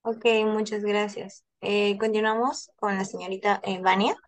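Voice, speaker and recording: A woman's voice: medium pitch, little pitch movement, a little slow. Young Peruvian woman moderating a group call. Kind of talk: structured group discussion, introducing questions. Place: indoors on a group video call. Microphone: video call.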